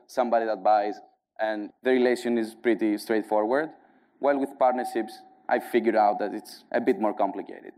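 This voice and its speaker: A man's voice: mid-range voice, a little mellow. A man from Greece with a strong Greek accent giving a conference talk in a conversational way full of fillers, tired from jet lag. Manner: conversational, filler-heavy presenting. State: jet-lagged. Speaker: conference speaker.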